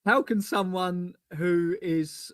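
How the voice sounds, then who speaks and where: A man's voice: high-pitched, fairly even intonation, takes it slowly, fairly bright tone, clean tone, no echo. Chatty English man, dry sound. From England. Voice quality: laughs often, loose and chatty. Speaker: co-host. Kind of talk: casual live-stream chat.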